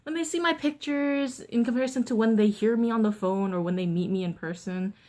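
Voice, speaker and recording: A young woman vlogger with a deeper voice than most women, telling a personal story in a candid, blunt, chatty way with lots of fillers. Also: a little fast, darkish tone. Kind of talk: casual storytime vlog.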